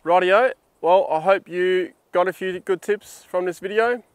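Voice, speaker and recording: A man's voice: high voice, unhurried, slight background noise. An Australian man giving an informal instructional explanation in a plain-spoken, colloquial, practical way. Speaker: instructional video presenter.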